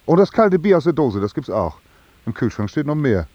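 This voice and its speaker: Boozy, simple-minded fellow with husky voice. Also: male voice, on the high side, big pitch swings, fairly quick, mellow, no room sound, muffled recording. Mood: gloomy musing. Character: boozy, slow-witted unemployed locksmith, mournful and simple-hearted. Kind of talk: German radio play acting.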